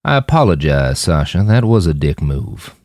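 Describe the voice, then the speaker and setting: A cocky, blunt mercenary leader from a fiction podcast, acted by a male narrator. The voice is low and swings around boldly as it taunts. It sounds dry and close. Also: very animated, normal speed, a little mellow, no room sound, heavily acted. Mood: contrite. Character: brash, foul-mouthed posthuman male mercenary leader.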